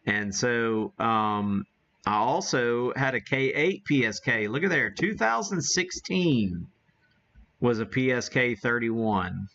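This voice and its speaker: A man giving a casual live demo as an amateur radio streamer, talking haltingly as he thinks aloud while working the gear. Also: mid-range voice, expressive, a bit bright, highs cut off.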